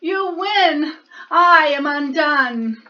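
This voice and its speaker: A woman's voice: extremely high pitch, expressive, takes it slowly, a bit bright, muffled recording, slight background noise, pitched above the performer's own voice, full character voice. A woman voicing a grumpy male bear who wants to be alone, blustering and exasperated, in a storybook read-aloud. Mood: defeated.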